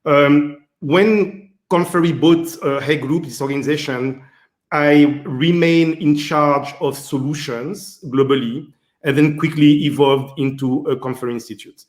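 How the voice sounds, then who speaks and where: A man's voice: fairly high pitch, steady tone, a little slow. A man from Martinique with a French accent, answering interview questions as a guest executive, hesitant and full of fillers. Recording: roomy echo.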